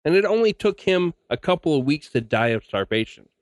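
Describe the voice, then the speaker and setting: A man's voice: fairly high pitch, sing-song, brisk, no room sound. A man hosting a podcast, reading scripted commentary and sign-off. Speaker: podcast host.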